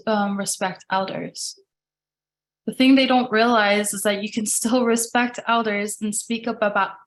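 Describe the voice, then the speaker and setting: Young woman, advocate, reads her own speech earnestly over a call mic; mid pitch. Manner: earnest, steady, with small restarts. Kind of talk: reading a prepared personal speech.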